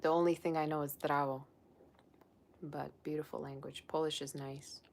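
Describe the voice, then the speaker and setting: A woman's voice: low-pitched, little pitch movement, normal speed, a little mellow. A young woman, a psychic tarot reader, chatting casually on a livestream and reading viewer comments aloud. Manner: chatty and rambling, with many "like" fillers.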